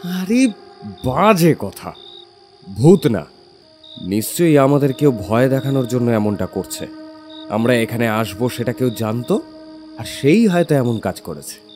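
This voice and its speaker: A man's voice: medium pitch, very animated, unhurried, long pauses, a little mellow, faint music behind. A man playing a bold village youth in an audio horror drama, chiding and dismissive in his questioning. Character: bold scoffing village youth, male friend.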